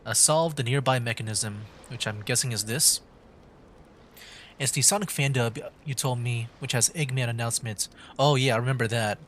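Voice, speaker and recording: A man's voice: medium pitch, normal speed, a bit bright, slight background noise. Man thinking aloud while gaming. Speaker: streamer hosting a video game livestream. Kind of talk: casual gameplay commentary.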